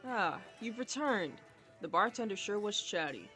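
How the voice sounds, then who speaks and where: A man acting a courteous, guarded company boss in a fantasy audio drama, speaking in a measured way. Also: high-pitched, very animated, sharp tone, soft background music, full character voice. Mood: pleased, relaxed. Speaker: voice actor in an audio roleplay.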